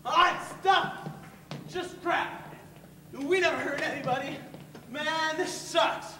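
Distant and echoey, this is a defiant teenage boy on stage, acted by a man. The voice is extremely high, loud and hot-headed. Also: slow pace, slight background noise, heavily acted. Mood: angry. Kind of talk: amateur stage play acting. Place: theatre stage, camera far off.

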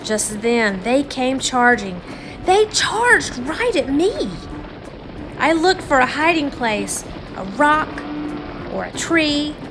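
Female storybook reader, fairly high voice, unhurried and theatrical, music bed underneath. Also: big pitch swings. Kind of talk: expressive children's read-aloud narration.

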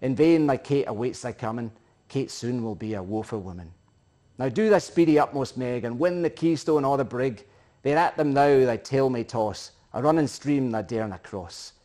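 A middle-aged man with a Scottish accent giving a theatrical, emphatic recitation of a narrative poem in Scots, swelling and hushing as he goes. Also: mid-range voice, normal speed. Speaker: poetry reciter. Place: living room, indoors.

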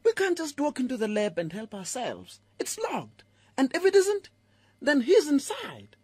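An actor playing a cheeky, scheming, bossy schoolboy with a husky voice and an urgent, needling manner in an audio drama. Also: male voice, very high-pitched, wildly swooping pitch, unhurried, darkish tone, dry recording, full character voice. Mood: exasperated.

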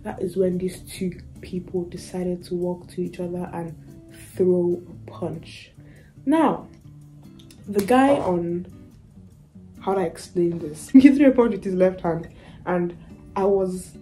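A young woman vlogging from her student room, telling a story in a rambling, chatty way with many fillers, her voice a little raspy from having lost it. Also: fairly low pitch, mellow, rough recording. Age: young adult. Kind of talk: casual vlog storytime. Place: small student bedroom indoors.

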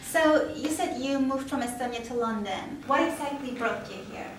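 A young woman with a slightly high voice hosts an interview, leading the conversation with friendly, encouraging questions. She speaks from a bare studio room that echoes. A lapel mic catches her at some distance, with faint noise behind. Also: normal speed. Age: young adult. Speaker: host. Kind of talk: hosting an interview.

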